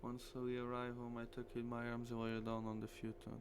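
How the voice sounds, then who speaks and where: Man, gravelly, visual novel narration, streamer, noisy recording with hum. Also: mid-range voice, monotone, brisk. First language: Portuguese. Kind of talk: reading a visual novel aloud.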